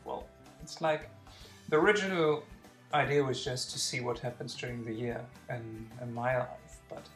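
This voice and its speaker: A man's voice: mid-range voice, fairly even intonation, moderate pace, a bit bright, faint music behind. A man, answering interview questions hesitantly and searching for words. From Germany. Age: 40s. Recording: echoey room.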